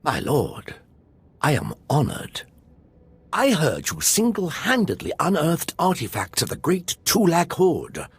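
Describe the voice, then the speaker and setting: Casting: eager, odd military scholar for a game, highish voice, very animated and musing. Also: male voice, measured pace, breathy edge, heavily acted. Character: eccentric, eager male military archaeologist officer. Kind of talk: game voice acting.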